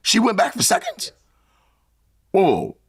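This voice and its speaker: A man's voice: sits high, huge pitch swings, slow, a bit bright, husky. A man in his forties hosting a casual interview, asking blunt, teasing questions and swearing easily. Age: 40s. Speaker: interview host.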